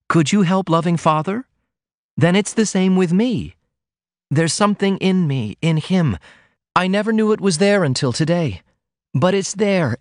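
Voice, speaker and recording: A man's voice: fairly high pitch, dry recording, clean studio sound, pitched above the performer's own voice, lightly acted. A man voicing a trembling young woman in love.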